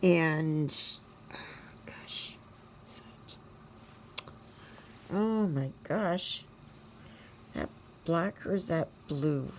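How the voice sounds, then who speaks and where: A woman in her sixties from Canada hosts a stitching channel at home. She chats casually while she works, slow and reflective, rambling with many fillers and murmuring softly to herself. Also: low voice, slight background noise. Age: 60s.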